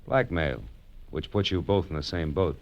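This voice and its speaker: A man's voice: low voice, moderate pace. An American actor playing a tough, wry male private detective in 1940s radio noir style, clipped and wisecracking. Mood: pressing, wry. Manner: hard-boiled, clipped, wisecracking. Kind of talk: 1940s radio noir acting and first-person narration. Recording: muffled old radio drama recording.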